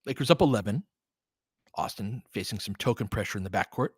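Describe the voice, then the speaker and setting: Excitable man calling basketball, wildly varied pitch. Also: fairly quick, breathy edge, dry recording, studio quality. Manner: excitable, whooping and swearing, partisan. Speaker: play-by-play commentator and host. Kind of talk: informal fan live basketball commentary.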